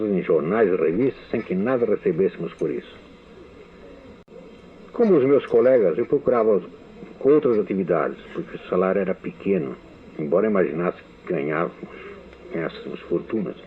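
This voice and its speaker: Older man, Brazilian Portuguese accent, deliberate memoir reading, somewhat deep voice, thin phone-line sound. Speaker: writer giving a recorded testimony. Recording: tinny phone-like archive radio recording.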